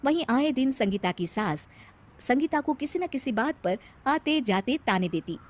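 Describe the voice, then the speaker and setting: A woman with an Indian accent narrating a cartoon tale as expressive scripted storytelling. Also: on the high side, normal speed.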